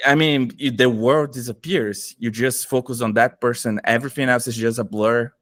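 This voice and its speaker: A middle-aged man from Brazil with a Brazilian Portuguese accent, talking eagerly as a podcast guest and often pausing to search for words. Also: mid-range voice, fairly even intonation, a bit bright, no echo, studio quality. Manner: enthusiastic, searching for words, frequent fillers. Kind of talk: casual interview conversation.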